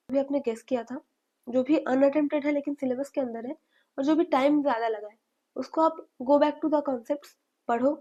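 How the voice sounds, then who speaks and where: A young woman with a high yet mellow voice explains study tips in a hesitant, filler-heavy way. She sounds slightly hoarse from a cold. The recording is dull and lo-fi. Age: young adult. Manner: hesitant, mixes in English, many fillers. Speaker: medical student giving study advice. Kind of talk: casual advice to viewers.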